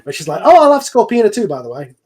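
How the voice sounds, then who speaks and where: A man with a slightly high voice talks casually in an online group chat. He explains things in an animated way, often stopping and restarting a thought. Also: fast, slightly rough. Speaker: series creator in a cast discussion. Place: voice chat call over the internet.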